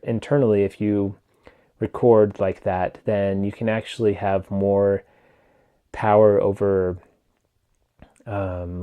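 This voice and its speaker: A man casually reviewing a wireless mic in a relaxed, rambling way, with lots of "um" and "like", speaking into a clip-on mic plugged into his phone. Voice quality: low voice. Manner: rambling, filler-heavy, relaxed. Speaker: tech reviewer.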